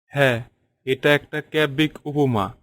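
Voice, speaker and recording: A man's voice: medium pitch, steady tone, measured pace, a bit bright, no room sound, clean studio sound, natural voice. A man voicing a clever, thoughtful young friend in a cartoon fairy tale, explaining things reflectively. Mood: pondering.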